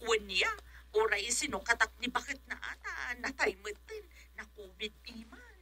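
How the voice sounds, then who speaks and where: A blunt, complaining wife in a rural Ilocano radio comedy. Her voice is high and piercingly bright, swinging widely in pitch. She has an Ilocano accent and an emphatic delivery. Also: sing-song, normal speed, vocal fry, heavily acted. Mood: indignant. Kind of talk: comedic radio drama acting.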